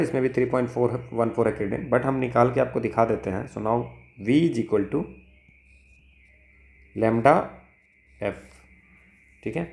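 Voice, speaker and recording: A man's voice: medium pitch, gravelly, a little mellow, roomy sound. A man with an Indian accent working through exam problems as a tutor, thinking aloud step by step and often checking in with short tag words. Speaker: physics tutor. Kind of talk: exam problem-solving lesson, mixing Hindi and English.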